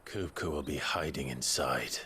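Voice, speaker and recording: A man's voice: rumbling low, normal speed, sharp tone, a little hiss or hum. A man playing a brooding, determined warrior in a video game cutscene, speaking in terse, understated lines. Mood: grim resolve.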